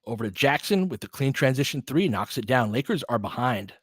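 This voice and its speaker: A man's voice: medium pitch, big pitch swings, a little fast, slightly rough, no room sound, clean studio sound. A man giving informal, candid basketball play-by-play and opinionated commentary as the host of a fan stream.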